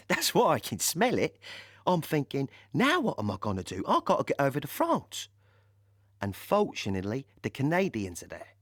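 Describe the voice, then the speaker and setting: Audio play: cheerful young army driver, colloquial storyteller, highly animated delivery, dry sound. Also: male voice, dry recording. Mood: amused. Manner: colloquial, ungrammatical, chatty storytelling with chuckles. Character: cheerful working-class young British army driver recalling D-Day. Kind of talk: dramatic monologue in an audio play.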